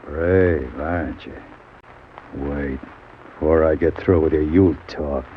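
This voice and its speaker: Radio villain: cold menacing gangster, unhurried and terse, muffled old broadcast recording. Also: male voice, extremely low pitch, fairly even intonation. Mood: cold menace. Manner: threatening, clipped commands. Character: menacing gangster husband, cruel and deliberate. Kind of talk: old-time radio crime drama acting. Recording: dull, slightly noisy old radio recording.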